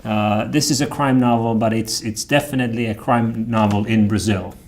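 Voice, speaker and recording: A man hosting a casual interview, feeling his way through loosely phrased questions in a halting manner. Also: on the low side, fairly even intonation. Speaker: talk show host, interviewer.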